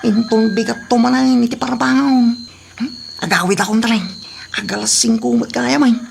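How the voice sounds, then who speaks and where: A woman's voice: on the high side, steady tone, moderate pace, gravelly, slight background noise. A woman playing a sneaky, whining male thief in a comedy audio drama, muttering and exclaiming. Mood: gleeful, sneaky.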